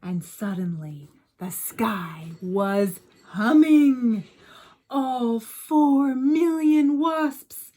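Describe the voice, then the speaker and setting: A woman's voice: a little high, animated, extremely slow, mellow. A woman reading a picture book aloud like a teacher, expressively, with chatty asides and questions to young listeners.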